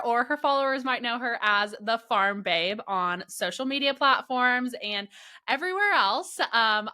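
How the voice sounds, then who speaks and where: Host: young woman, fairly high and bright voice, eager and gushing. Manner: enthusiastic, gushing, filler-heavy. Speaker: podcast host. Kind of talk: interview introduction and questions.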